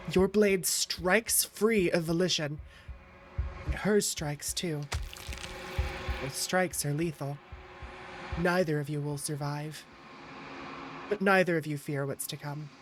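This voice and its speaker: A woman's voice: low-pitched, slow pace, long pauses, background noise. A woman streaming a game, reading visual novel narration aloud and chatting casually with viewers. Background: game music.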